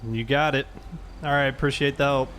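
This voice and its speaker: Male police roleplayer, mid pitch, procedural and polite, faint background noise. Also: fairly even intonation, fairly quick, a bit bright. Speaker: streamer role-playing a sheriff's deputy. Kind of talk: police roleplay game talk and radio calls.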